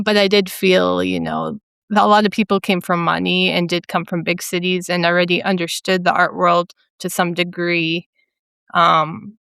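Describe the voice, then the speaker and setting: A young woman from central Minnesota with a Minnesota accent, a painter talking thoughtfully in an interview with many pauses and ums. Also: fairly low pitch, creaky, a bit bright, no echo. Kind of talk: casual interview conversation.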